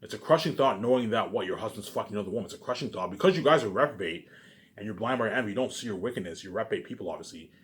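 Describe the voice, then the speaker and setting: A man with a fairly low voice speaks very quickly in a rambling, off-the-cuff monologue to his viewers. He rants with plenty of profanity, circles back over the same phrases, and keeps adding short verbal tags to his sentences. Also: lively intonation, fairly bright tone. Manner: repetitive, with frequent verbal tags; profane, ranting. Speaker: vlogger.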